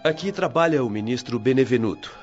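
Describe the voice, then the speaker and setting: An actor playing a courteous, modest male guide speaking softly in a radio drama. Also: medium pitch, expressive, a little fast, dull audio, faint music behind, lightly acted. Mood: gentle. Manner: soft-spoken, courteous, humble.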